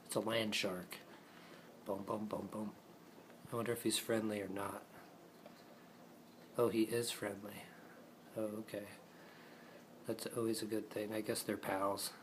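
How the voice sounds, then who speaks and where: A man's voice: on the low side, level tone, measured pace, long pauses, a little hiss or hum. A man improvising playful puppet show narration, chatting casually as if to the puppets, in an amateur recording.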